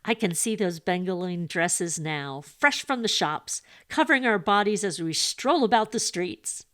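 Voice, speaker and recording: A woman's voice: lowish voice, expressive, measured pace, a bit bright. A woman voicing a theatrical young daughter in a story, cheerful and giddy, tending to squeal. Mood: confident glee.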